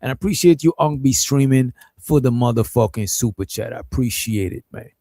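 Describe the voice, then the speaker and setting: A man's voice: medium pitch, a little fast, dark tone, dry recording, studio quality, soft background music. A man hosting a casual stream, reacting to a clip in a laid-back, profane, rambling way.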